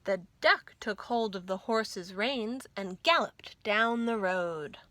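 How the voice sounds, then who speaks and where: Female storytime reader, measured pace, bright and expressive, rhythmic children's verse. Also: mid-range voice. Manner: deliberate, rhythmic verse reading.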